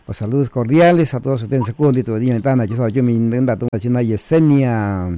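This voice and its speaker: A man's voice: mid-range voice, a little fast, warm tone, no room sound. A man hosting a bilingual community radio show, sending long lists of greetings to listeners. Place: radio studio.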